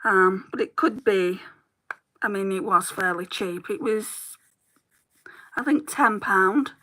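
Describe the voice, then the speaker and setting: A woman's voice: medium pitch, takes it slowly, sharp tone. A British woman chatting casually while she does her makeup live, greeting viewers by name in a rambling way, her throat getting a little sore. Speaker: beauty vlogger, livestream host.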